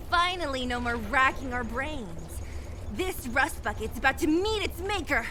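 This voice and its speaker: A woman's voice: high-pitched, huge pitch swings, slow pace, bright, forceful tone, slight background noise, heavily acted. An actress playing a feisty, combative young woman in a video game, brash and taunting.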